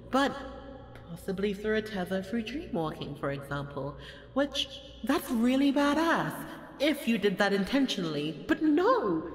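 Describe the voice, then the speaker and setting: A woman's voice: medium pitch, lightly acted. A woman playing a haughty, bored, playful goddess of destruction in an ASMR audio roleplay, with an imperious, mocking, theatrical delivery. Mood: exasperated, mocking. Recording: echoey hall reverb, faint background noise.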